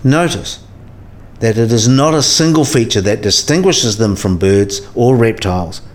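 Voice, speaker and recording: Male actor as learned professor, medium pitch, patient, didactic, precise, radio play dialogue. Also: expressive, measured pace, close to an everyday voice. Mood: instructive, probing. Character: learned professor patiently explaining to a younger colleague.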